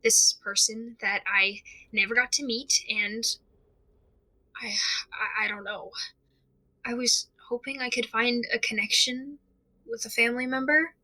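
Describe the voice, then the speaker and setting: Scared lonely investigator in an audio drama, slow n halting, kinda high sharp voice. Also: female voice, steady tone, poor audio. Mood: sad, lost. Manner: halting, uneasy, breaking off and restarting. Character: frightened isolated young investigator unravelling over mysterious tapes. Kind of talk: audio drama confessional monologue.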